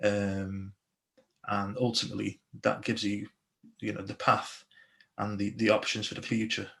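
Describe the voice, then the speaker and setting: A man's voice: fairly low pitch, monotone, unhurried, gravelly, a bit bright. A young adult man from Slovakia, a police constable, speaking earnestly on a video call interview with many restarts.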